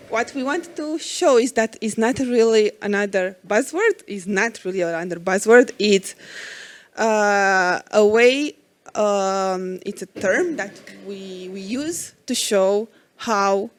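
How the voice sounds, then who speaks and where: A woman's voice: medium pitch, slow pace, slight background noise. A woman in her forties from Romania giving a conference talk as an IT architect, hesitant with many fillers.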